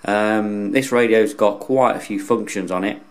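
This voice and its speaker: A man's voice: fairly low pitch, measured pace. A man casually reviewing gear unscripted, in a plain-spoken, rambling, hesitant way that often repeats words. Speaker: radio hobbyist reviewer.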